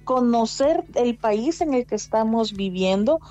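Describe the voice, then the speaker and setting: Earnest woman with a mellow voice. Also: on the high side, animated, measured pace, dull audio, faint music behind. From immigrant living in Spain. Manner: earnest, hesitant restarts, exhorting listeners. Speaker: guest, domestic workers' rights advocate. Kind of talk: conversational interview testimony.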